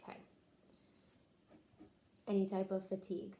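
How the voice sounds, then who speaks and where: Mellow-voiced woman doing a clinical interview. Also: medium pitch, fairly even intonation, a little slow, a little hiss or hum. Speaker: nurse interviewer taking a health history.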